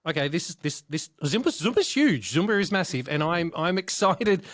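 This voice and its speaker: A man's voice: on the high side, very animated, normal speed, fairly bright tone, no echo. A man hosting a podcast interview, talking enthusiastically in long rambling turns. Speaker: podcast host.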